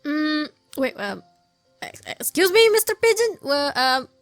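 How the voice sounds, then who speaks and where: A woman's voice: high-pitched, big pitch swings, measured pace, dry recording, faint music behind. A woman giving casual gameplay commentary, hesitant and stammering, often repeating her words. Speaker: game streamer reacting to story dialogue.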